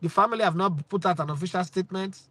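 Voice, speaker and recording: A Nigerian man in his forties talks straight to his viewers with a bright, forceful tone and a slightly raised pitch. He fires rhetorical questions and drops into Pidgin now and then. The sound is close and dry. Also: fast, no room sound. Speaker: sports channel host. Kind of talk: opinionated talk to camera.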